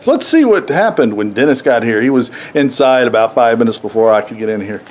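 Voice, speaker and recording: A man's voice: medium pitch, animated, a little fast, darkish tone. A man hosting a road vlog in a casual, chatty, joking way. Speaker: comedian host of a road vlog.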